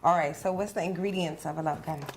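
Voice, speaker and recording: A woman's voice: low voice, fairly even intonation, quick pace, a little hiss or hum. Upbeat woman hosting a casual show. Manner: upbeat, playful, talks straight to viewers. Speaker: show host. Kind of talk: casual interview chat.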